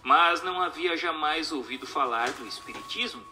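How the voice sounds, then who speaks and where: A man reading a formal text as scripted narration in a measured, didactic manner. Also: a little high, bright, forceful tone. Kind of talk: scripted audiobook-style narration of a spiritist text. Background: music.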